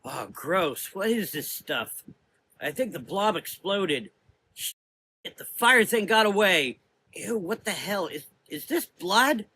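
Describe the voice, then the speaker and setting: A man's voice: high-pitched, big pitch swings, slow, pushed and bright, slight background noise, pitched above the performer's own voice, full character voice. Man putting on a feisty, foul-mouthed young woman. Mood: disgusted. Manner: slangy, blunt, exclaiming. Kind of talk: gothic audio drama character acting.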